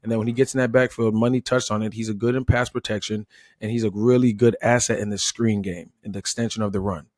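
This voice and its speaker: A man's voice: fairly low pitch, steady tone, quick pace, a little mellow, no room sound, studio quality. An American man, a former player, chatting as a sports analyst in punchy, repeated phrases. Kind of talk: casual sports analysis chat.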